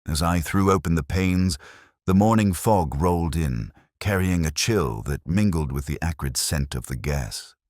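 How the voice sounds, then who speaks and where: A man reading a dramatic mystery story in a solemn, measured, literary storytelling manner. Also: deep voice, lively intonation, a little mellow, no room sound, studio quality. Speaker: audiobook narrator. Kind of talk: scripted dramatic mystery narration.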